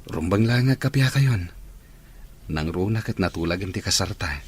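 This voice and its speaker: Man acting deep, husky Ilocano devoted man. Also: expressive, moderate pace, darkish tone. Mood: reassuring. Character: devoted, reassuring male character of family drama. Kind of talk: Ilocano audio drama acting.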